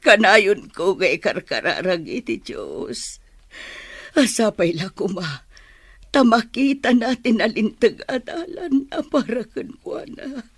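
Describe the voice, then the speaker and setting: A woman's voice: fairly high pitch, slightly rough, no echo, clean studio sound, exaggerated voice. A woman playing an anxious, devout and grateful mother in an Ilocano drama, speaking earnestly and emotionally. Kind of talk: Ilocano audio drama acting.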